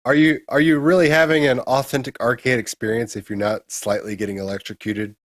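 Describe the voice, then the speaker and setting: A man's voice: mid-range voice, level tone, a little fast, no room sound, studio quality. A man co-hosting a show, talking through gaming news in a casual, conversational way. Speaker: co-host presenting gaming news.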